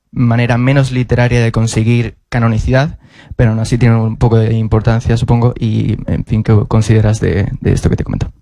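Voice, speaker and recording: A man's voice: medium pitch, mellow, no echo. A man with a Spanish accent from Spain asking long, carefully built questions from the audience, restarting often. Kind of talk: formal question from the floor. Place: auditorium.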